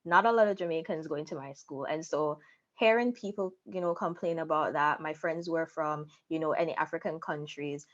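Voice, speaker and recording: A woman's voice: deep voice, normal speed, highs cut off. A young adult woman telling her personal story candidly as an interview guest. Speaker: interview guest, content creator.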